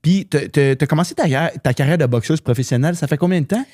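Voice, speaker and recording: A man's voice: fairly high pitch, fast, a little mellow. A man with a Québécois French accent hosting a podcast, asking questions with stumbling restarts and casual swearing. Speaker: podcast host. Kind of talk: casual chat, interviewing.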